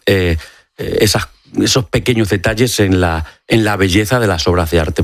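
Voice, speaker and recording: A man with a Spanish accent from Spain speaks in a lowish voice as an expert guest. He explains in a courteous, conversational way, in a dry radio studio. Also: no echo. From Extremadura, Spain. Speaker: interview guest, doctor and ophthalmology professor.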